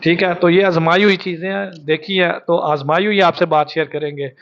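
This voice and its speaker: A man's voice: a little high, fairly even intonation, fairly quick. Chatty man on a lo-fi mic. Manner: chatty, advising, asks for agreement. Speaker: YouTube host. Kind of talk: casual talk to camera. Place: in Oman. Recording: lo-fi dull recording.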